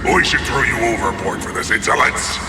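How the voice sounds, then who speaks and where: An actor playing a menacing mechanical pirate captain in an audio drama, theatrical, cruel, grandiose and sneering. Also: male voice, on the low side, expressive, a little fast, roomy sound, noisy background, close to an everyday voice. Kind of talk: scripted audio drama acting.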